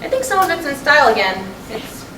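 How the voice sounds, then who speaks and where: A woman's voice: sits high, big pitch swings, a little fast. A woman reading the part of a restless, sardonic wife in a staged play, in blunt, conversational dialogue. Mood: wistful, musing. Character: restless, sardonic wife, easily upset, nostalgic. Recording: distant mic in echoey, noisy room.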